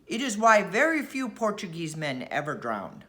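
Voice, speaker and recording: A woman voicing an earnest, devout fisherman in a storybook, with halting, simple phrasing. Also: fairly low pitch, sing-song, sharp tone. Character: earnest, devout Portuguese fisherman, reassuring man. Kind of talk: storybook character voice.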